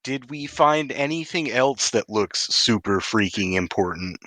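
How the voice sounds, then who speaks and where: A man's voice: mid-range voice, expressive, unhurried, bright, forceful tone, no echo. A man playing a tabletop role-playing game, chatting casually and inquisitively in informal language with some mild swearing.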